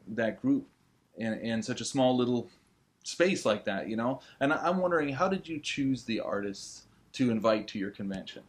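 A man, asking questions conversationally as an interviewer. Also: mid-range voice. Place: small indoor tattoo studio room.

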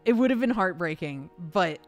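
A woman voice actor hosting a casual question-and-answer chat in an expressive, conversational way. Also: lowish voice, normal speed, muffled recording, faint music behind.

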